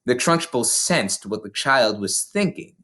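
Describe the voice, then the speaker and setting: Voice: mid-range voice, animated, measured pace, a bit bright. A reader, narrating a children's novel aloud. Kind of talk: read-aloud storybook narration.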